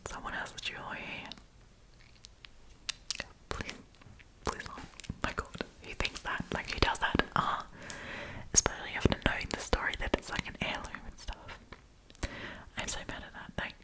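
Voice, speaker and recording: A woman whispering in a breathy, chatty, informal way as she hosts an ASMR storytime, reading aloud and commenting. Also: normal speed, pauses often, low-quality recording. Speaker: host of storytime series.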